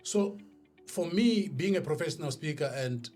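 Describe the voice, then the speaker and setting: A South African man speaking as a podcast guest, a professional speaker telling his story in a deliberate, emphatic, oratorical way. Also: a little high, animated, darkish tone. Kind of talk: motivational storytelling in an interview. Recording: music behind the voice. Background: music.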